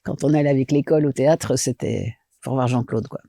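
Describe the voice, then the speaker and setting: Woman from Belgium, middle-aged, gravelly voice, warm tone, dry recording. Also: very deep voice, fairly quick, no room sound. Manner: lively, chatty, many fillers and restarts. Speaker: actress and director, guest. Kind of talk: casual interview chat.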